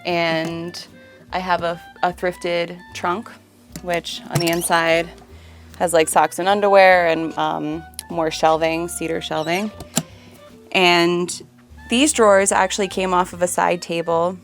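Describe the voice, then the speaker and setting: A woman with a low-pitched voice walks through her home as its owner. She talks in a casual, explanatory house-tour style, with faint music behind her. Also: flat delivery, takes it slowly. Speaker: homeowner giving a house tour.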